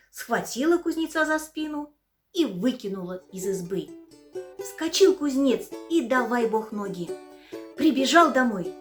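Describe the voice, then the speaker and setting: Expressive female storyteller, higher voice, lively sing-song delivery, for bedtime tale. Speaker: actress reading a fairy tale.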